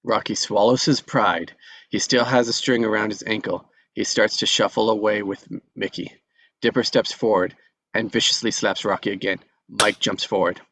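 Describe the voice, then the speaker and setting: Male reader for stage directions, low-pitched, measured delivery. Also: fairly even intonation, fairly bright tone, a little hiss or hum, poor audio.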